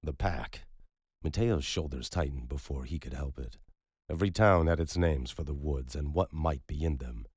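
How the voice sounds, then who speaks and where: A man narrating a thriller audiobook in a steady, measured way. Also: very deep voice, breathy edge, no echo, clean studio sound.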